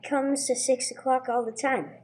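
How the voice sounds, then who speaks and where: Woman playing an earnest, high-voiced schoolboy. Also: fairly even intonation, normal speed, darkish tone, husky. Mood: earnest, unsure. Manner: hesitant, plain-spoken. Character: earnest, respectful schoolboy altar server. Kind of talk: 1950s radio drama acting, amateur church production.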